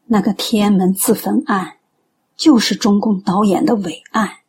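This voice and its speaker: An actress playing a gentle, middle-aged believer in a radio drama, earnestly trying to persuade. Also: female voice, mid-range voice, fairly even intonation, normal speed, breathy edge. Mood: earnest persuasion.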